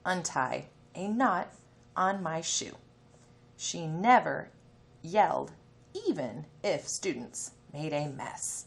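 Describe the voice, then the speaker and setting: Female elementary teacher, read-aloud lesson: lively intonation, unhurried pace, instructional delivery. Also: lowish voice, creaky. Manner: instructional, sounds out letters and words for children.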